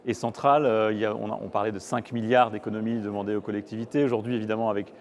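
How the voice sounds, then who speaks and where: A man's voice: on the low side, steady tone, very fast. A man interviewing, feeling his way through long questions with hesitant, roundabout phrasing.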